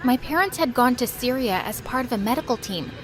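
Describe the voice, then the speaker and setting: A woman playing a kind, nervous teenage girl who narrates her own story in an animated video. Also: fairly high pitch, fairly quick, close to an everyday voice. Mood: bright storytelling. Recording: music under the voice.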